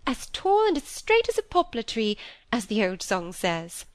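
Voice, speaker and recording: A woman's voice: on the high side, huge pitch swings, unhurried, no room sound, pitched above the performer's own voice. A woman voicing a meek, gullible older spinster in a storybook, timid and given to exclaiming. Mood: anxious to please. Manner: timid, breathlessly exclaiming, peacemaking.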